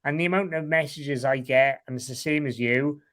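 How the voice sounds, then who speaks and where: A man's voice: fairly high pitch, moderate pace, a bit bright. A man with a Welsh accent, a guest talking candidly in an interview, frank and rambling, swearing casually. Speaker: guest, online seller.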